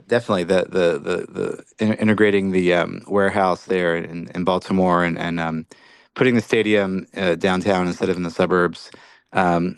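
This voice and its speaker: Deep-voiced man, reflective author guest. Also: smooth, no room sound. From Belgium. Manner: reflective, many restarts. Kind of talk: podcast interview.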